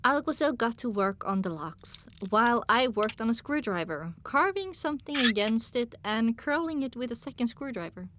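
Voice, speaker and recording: A woman's voice: fairly high pitch, lively intonation, moderate pace, hardly any pauses, background noise. A woman reading scripted first-person story narration as an audiobook narrator.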